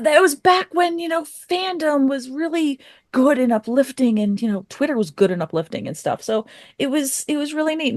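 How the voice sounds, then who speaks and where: A woman's voice: a little high, darkish tone, no echo. Casual woman, very dry close sound. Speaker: podcast guest answering questions. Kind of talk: casual chat.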